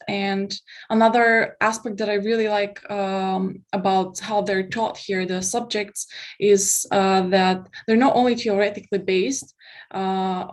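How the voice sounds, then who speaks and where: Halting young woman presenting online. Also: medium pitch. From Bulgaria. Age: young adult. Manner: hesitant, halting delivery. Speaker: exchange student presenter. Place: indoors, online video call.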